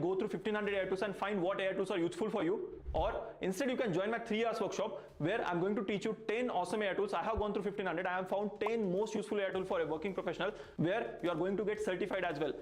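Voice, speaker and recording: A man giving a brash, pushy sales pitch as an instructor in an online ad. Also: quick pace, hardly any pauses. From India. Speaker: workshop instructor promoting a course. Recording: echoey room.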